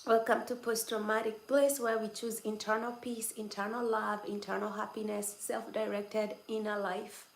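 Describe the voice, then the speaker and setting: A woman's voice: mid-range voice, level tone, normal speed, creaky, few pauses. A woman hosting a casual livestream from home, chatting and teasing viewers, laughing often. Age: adult over twenty-six. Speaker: livestream host. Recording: echoey room with faint background noise.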